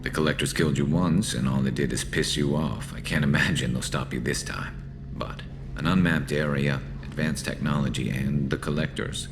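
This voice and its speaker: A battle-weary alien soldier speaks in an extremely low, creaky voice over clearly audible ambient noise. He is a loyal rebel with a dry, measured wit, straight out of a sci-fi video game. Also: fairly even intonation. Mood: wry, relaxed.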